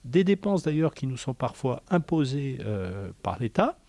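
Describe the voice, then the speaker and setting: A man gives an official's interview answer. His voice is dark and relaxed at a middle pitch, with halting phrasing and restarts. The sound is close and dry. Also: dry recording. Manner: halting, hesitant, with repeated restarts. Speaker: local government official.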